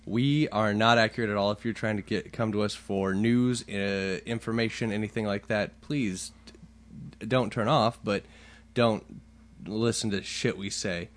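A man co-hosting a casual podcast, talking louder than his partner and joking with plenty of swearing. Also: on the low side, steady tone, measured pace. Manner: louder, leans into the mic, joking and profane. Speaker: podcast co-host. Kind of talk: casual chat.

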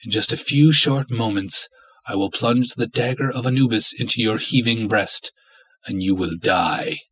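A man voicing an obsessive, lovestruck villainous cultist in a dramatic story reading, florid, theatrical and menacing, with a husky voice. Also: on the low side, normal speed, no room sound, low-quality recording. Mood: gloating, menacing.

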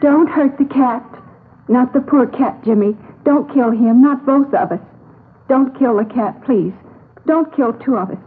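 A woman's voice: high-pitched, breathy edge. A woman acting a frail, imploring, ghostly murdered wife in an old-time radio drama. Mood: pleading. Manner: slow, imploring. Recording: tinny old radio recording.

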